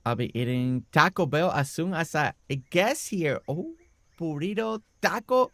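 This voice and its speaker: A man's voice: on the high side, animated, a little slow, a bit bright. A man streaming a building game, chatting casually with viewers by name and a little congested. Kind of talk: casual live-stream chat while building.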